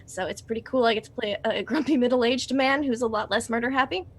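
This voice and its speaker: A woman with a high-pitched voice speaks in casual, conversational style. She comes across as a guest player on a gaming stream, listing shows in an informal way. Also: quick pace, husky. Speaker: guest player promoting their streams and podcast. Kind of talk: casual chat.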